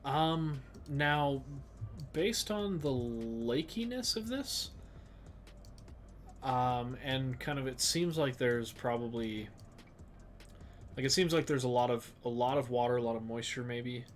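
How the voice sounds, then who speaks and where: A man with a stuffed-up nose, thinking aloud slowly with lots of fillers as he streams a geography game. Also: mid-range voice, lively intonation, a little hiss or hum. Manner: slow, musing, thinks aloud with many fillers. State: stuffed up, sniffly. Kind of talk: casual live-stream commentary.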